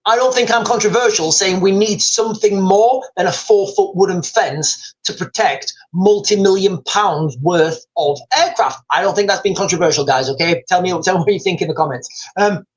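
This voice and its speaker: A man's voice: high-pitched, moderate pace. A middle-aged man hosting a live stream, talking casually and rambling to his viewers, repeating phrases and calling them guys. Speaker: livestream host.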